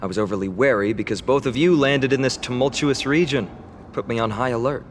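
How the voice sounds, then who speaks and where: An actor playing an earnest, polite young hero in a game cutscene. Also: male voice, medium pitch, fairly quick, slight background noise, natural voice.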